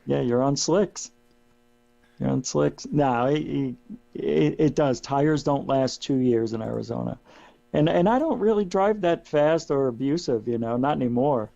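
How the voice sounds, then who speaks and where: A hoarse middle-aged man talking as a guest in casual radio chat, rambling with restarts as he loses his voice. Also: medium pitch, lively intonation, a little slow, warm tone. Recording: dull lo-fi line with mains hum.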